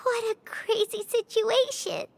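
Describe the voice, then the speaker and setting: A woman voicing a small, childlike and excitable fairy companion in a video game, exclaiming and pleading with open emotion. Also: very high voice, a little slow, gravelly, no room sound, heavily acted. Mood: distraught.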